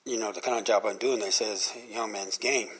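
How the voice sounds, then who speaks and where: A man's voice: on the low side, steady tone, fast talker, husky, poor audio. A man of fifty-nine giving an informal devotional talk in a rambling, conversational, earnest manner.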